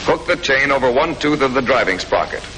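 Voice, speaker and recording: An American man reading scripted 1940s military training film narration in a clear, matter-of-fact, instructive manner. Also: mid-range voice, animated, a little fast. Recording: noisy old 1940s film soundtrack.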